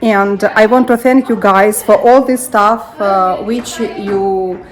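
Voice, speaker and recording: A woman's voice: medium pitch, fairly even intonation. Grateful woman with a Ukrainian accent. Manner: grateful, simple halting English. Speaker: refugee guest.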